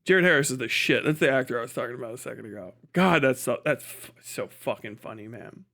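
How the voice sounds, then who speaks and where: A man's voice: mid-range voice, expressive, fast, gravelly, a bit bright. An American man giving casual, off-the-cuff live commentary as a streamer, joking and swearing irreverently. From United States. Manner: breaks often into chuckles. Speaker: livestreamer and podcast host.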